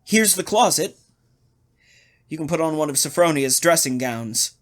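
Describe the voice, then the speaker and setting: Guy acting as creepy controlling husband, kinda high male voice, fake caring, bossy, old radio thriller style. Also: expressive, moderate pace, lightly acted. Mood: coolly solicitous. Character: menacing husband, falsely solicitous, controlling, insistent. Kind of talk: old-time radio style suspense drama.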